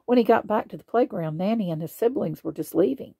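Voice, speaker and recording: A woman reading children's bedtime stories aloud in an expressive way. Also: medium pitch, fairly quick, gravelly, husky, dry recording. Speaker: storybook reader.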